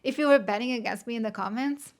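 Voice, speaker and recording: A woman's voice: fairly high pitch, fast. A woman in her thirties from Italy, with an Italian accent, chatting candidly and self-deprecatingly to camera in a writing vlog. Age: 30s. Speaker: vlogger. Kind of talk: casual chat to camera.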